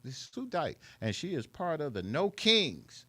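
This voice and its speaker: A man's voice: on the high side, huge pitch swings, moderate pace, a bit bright, breathy edge. A man hosting a live community radio talk show, who often repeats his phrases for emphasis. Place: radio studio.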